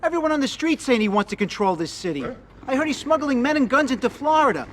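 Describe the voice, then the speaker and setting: A man's voice: very high-pitched, expressive, fast, a bit bright, a little hiss or hum. A man playing a hot-headed, scheming mobster in a crime game, agitated and insistent. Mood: agitated, accusing. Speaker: video game character actor. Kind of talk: cinematic crime drama acting.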